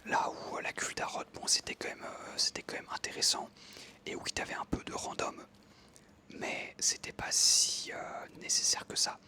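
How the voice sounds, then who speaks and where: An ASMR creator whispering casually into a close microphone over gameplay, rambling with frequent hesitations. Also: normal speed. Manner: soft whisper, casual and rambling with many fillers.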